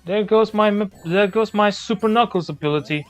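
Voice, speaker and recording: A man's voice: high voice, brisk, faint music behind. A man in his thirties streaming a game, calling out gameplay with short remarks. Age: 30s. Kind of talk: casual gaming chat.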